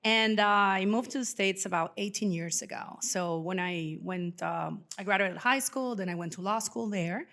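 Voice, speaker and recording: A woman's voice: mid-range voice, normal speed, gravelly, hardly any pauses, a bit bright. Chatty woman. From Venezuela. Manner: chatty, informal, acts out remembered dialogue. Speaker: podcast guest, financial professional. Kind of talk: casual personal storytelling.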